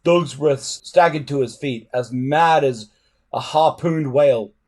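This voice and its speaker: A man reading a storybook aloud in an expressive, dramatic way. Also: medium pitch, a little slow. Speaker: reader.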